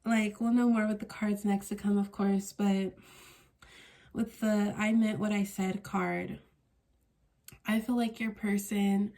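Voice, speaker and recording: A woman's voice: mid-range voice, little pitch movement, slow, long pauses, dark tone. A woman with a congested, stuffy voice giving a casual tarot reading as host of her channel, wandering through her thoughts and correcting herself as she goes. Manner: meandering, searching for words, self-correcting. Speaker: tarot card reader.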